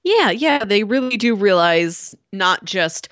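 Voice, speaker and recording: A woman's voice: medium pitch, lively intonation, slow, a bit bright, dry recording. A woman co-hosting a casual film discussion, acting out imagined lines of dialogue as she explains. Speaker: podcast co-host discussing films.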